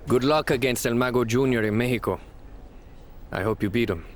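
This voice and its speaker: A man's voice: mid-range voice, brisk, lightly acted. A man playing a reticent pro wrestler, guarded and subdued, in video game cutscene dialogue. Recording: clear background noise.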